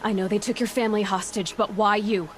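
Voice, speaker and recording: An actress playing a determined, guarded young huntress in a video game, asking blunt and direct questions in terse phrases. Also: female voice, medium pitch, fairly even intonation, a little fast, slight background noise, close to an everyday voice. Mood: insistent. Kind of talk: dramatic video game dialogue.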